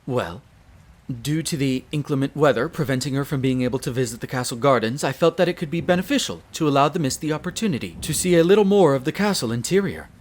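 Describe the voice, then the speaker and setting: A man's voice: mid-range voice, expressive, fast, close to an everyday voice. A man playing a dutiful wolf butler in an audio roleplay, very formal and deferential, a little nervous. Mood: careful, deferential. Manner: very formal, deferential, hesitant when flustered. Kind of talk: scripted audio roleplay acting.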